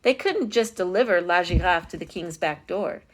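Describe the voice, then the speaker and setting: A woman reading a picture book aloud as a storytime host, in an expressive, dramatic style with stressed phrases. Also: lowish voice, normal speed. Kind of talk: expressive children's read-aloud.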